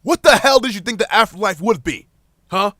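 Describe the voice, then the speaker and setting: A man's voice: high voice, expressive, fairly quick, sharp tone, no echo, clean studio sound, exaggerated voice. A man playing a grieving woman's mind as a blunt, exasperated, sweary inner voice in an audio drama, confronting her with pointed questions. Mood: angry, exasperated. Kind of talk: amateur audio drama acting.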